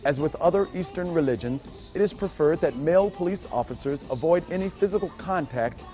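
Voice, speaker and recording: A man's voice: fairly high pitch, expressive, a little fast. A man reading scripted narration for a training film. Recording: muffled audio with music behind. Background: music.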